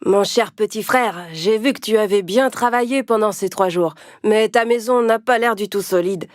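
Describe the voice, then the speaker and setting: A woman's voice: mid-range voice, moderate pace, no room sound, studio quality, natural voice. A woman voicing a sensible eldest pig brother, earnest and cautioning, in a children's story. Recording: music behind the voice.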